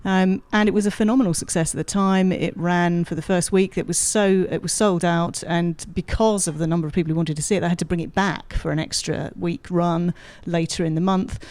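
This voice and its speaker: A woman's voice: fairly low pitch, fairly even intonation, a little fast, few pauses, a little mellow. A woman giving articulate, explanatory answers as an expert guest in a radio interview, thinking aloud as she goes. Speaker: guest academic expert, drama lecturer. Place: radio studio.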